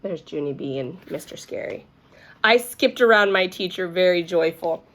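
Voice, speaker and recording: A woman storyteller narrating a children's book aloud in an expressive read-aloud style. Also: fairly low pitch, moderate pace.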